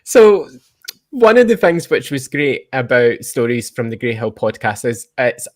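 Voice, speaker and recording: A man's voice: medium pitch, big pitch swings, measured pace. A man with a Scottish accent hosting a casual podcast chat. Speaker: podcast host.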